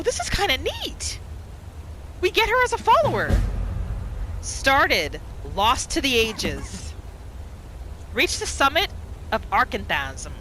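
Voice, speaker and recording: A woman hosting a gaming video, giving casual, expressive commentary as she reacts to the game. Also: high voice, noisy background. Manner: expressive, exclaiming.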